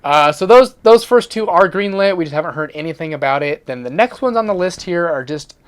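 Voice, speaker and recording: Male co-host, voice a touch high, informal and slightly halting, chatting through entertainment news. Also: lively intonation, clean tone. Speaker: co-host of a video game and movie discussion show. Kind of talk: casual chat about entertainment news.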